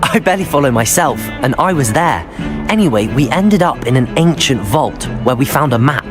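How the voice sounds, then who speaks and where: Man acting teenage wizard student, eager, polite, expressive pitch, music behind. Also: moderate pace, lightly acted. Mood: confiding. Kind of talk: video game dialogue.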